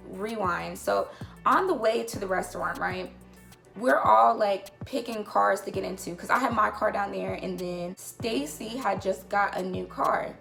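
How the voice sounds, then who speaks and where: A young woman with a naturally crackly, gravelly voice tells a long story in her home kitchen, chatty and loose, dropping casual swears and plenty of fillers. Her pitch sits in the middle for a woman. Music plays clearly behind her. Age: young adult. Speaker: vlogger.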